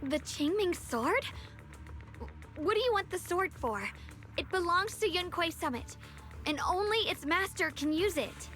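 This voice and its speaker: A woman's voice: extremely high pitch, animated, measured pace, a bit bright, soft background music, full character voice. An actress playing an earnest, kind young swordswoman in a video game. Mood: indignant. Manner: earnest, emphatic.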